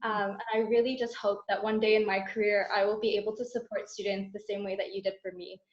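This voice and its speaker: A woman's voice: medium pitch, little pitch movement, quick pace. A young woman, a university student giving a sincere thank-you speech to donors over a video call. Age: young adult. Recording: roomy dull video call audio.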